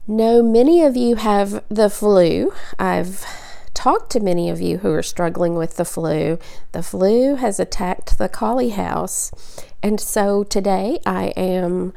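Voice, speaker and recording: A woman with a raspy voice, sick with the flu, teaching an earnest devotional Bible study and restating points for emphasis. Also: mid-range voice, slow, mellow.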